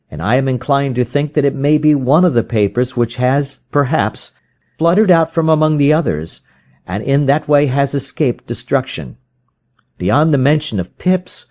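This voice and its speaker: A man's voice: medium pitch, darkish tone, voice pushed up, lightly acted. A man voicing a helpless, frightened young client in an audiobook, with earnest and formal Victorian diction. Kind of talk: audiobook character voice in a detective story.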